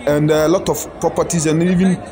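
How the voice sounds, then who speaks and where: A man giving a news report voice-over as a sports reporter, steady with a few fillers. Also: a little high, fairly quick, music in the background, a crowd in the background.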